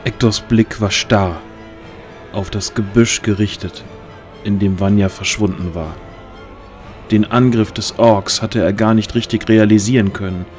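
A man's voice: lowish voice, steady tone, a little slow, darkish tone. A man narrating a fantasy audio drama in a dramatic, scripted storytelling style. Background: music.

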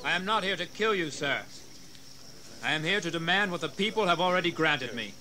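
Bold colonial rebel chief, audio drama. Bright, declamatory, slightly high, faint background noise. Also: male voice, animated, brisk. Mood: firm. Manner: forceful, declamatory. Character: bold, hot-headed colonial rebel leader. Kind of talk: historical audio drama acting.

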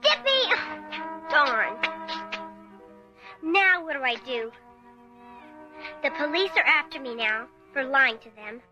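A woman's voice: high-pitched, sing-song, takes it slowly, long pauses, muffled recording, full character voice. A woman playing an earnest, persistent young girl in a radio drama, youthful, blunt and plain-spoken. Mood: worried, determined. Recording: radio drama with music underneath.

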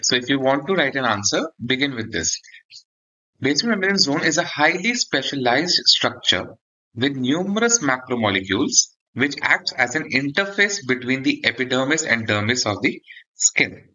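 A man's voice: mid-range voice, very animated, normal speed, bright, forceful tone, dull audio. A man gives an explanatory medical lecture for exam students, often checking in with a short "okay". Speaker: dermatology lecturer.

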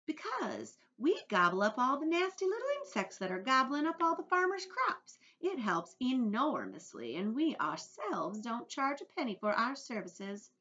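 A woman's voice: fairly high pitch, huge pitch swings, normal speed, few pauses, sharp tone, voice pushed up, exaggerated voice. A woman voicing a small, genteel female ladybug who speaks with refined, modest pride. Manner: refined, modest, slightly boastful. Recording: dull lo-fi audio.

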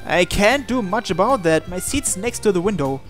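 Expressive visual novel narrator over soft music. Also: mid-range voice, moderate pace. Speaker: let's play host. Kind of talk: reading visual novel text aloud, let's play narration. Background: game music.

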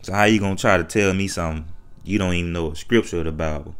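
American man, lowish voice, for an off-the-cuff rant; colloquial, emphatic, repeats himself. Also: quick pace, clean tone. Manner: colloquial, repetitive, emphatic rhetorical questions. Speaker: online commentator. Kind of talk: unscripted opinionated rant.

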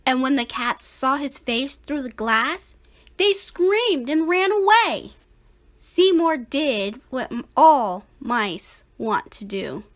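High-pitched woman reading a children's storybook, slowly. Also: a bit bright. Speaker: storybook reader. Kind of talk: children's read-aloud narration.

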